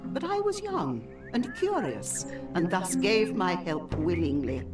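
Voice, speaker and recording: A woman's voice: fairly low pitch, wildly swooping pitch. Wistful swamp witch healer for a game, old-timey formal talk, a bit far from mic, music behind. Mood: wistful, reflective. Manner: formal, old-fashioned, measured phrasing. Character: swamp witch healer, woman looking older than her years, wistful. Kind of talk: dramatic video game dialogue.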